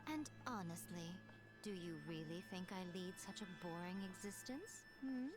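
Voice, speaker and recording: An actress playing a sly, teasing and imperious fox shrine maiden in a game trailer, haughty and languid. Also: female voice, on the low side, expressive, normal speed, music in the background, natural voice. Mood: haughty, displeased. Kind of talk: game character trailer acting.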